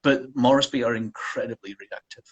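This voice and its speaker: A man speaks as a guest in a relaxed interview, filling pauses with ums. His voice has a breathy, husky edge. The pitch sits in an ordinary middle range. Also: lo-fi sound. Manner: relaxed, frequent ums, self-deprecating. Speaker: college counselor guest. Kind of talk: casual interview answers.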